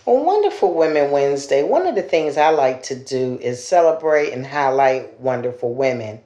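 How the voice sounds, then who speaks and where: A woman hosting her own podcast, talking in a loud, chatty way while trying to keep her voice down. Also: very deep voice, sing-song, normal speed, reverberant. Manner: loud, chatty, trying to speak more softly. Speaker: podcast host. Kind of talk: casual podcast talk.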